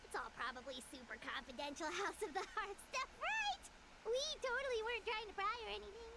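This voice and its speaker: A woman voicing a tiny, chirpy floating female companion creature with a squeaky high voice and a bubbly, nosy manner. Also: sing-song, fairly quick, very noisy, exaggerated voice. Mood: nervous.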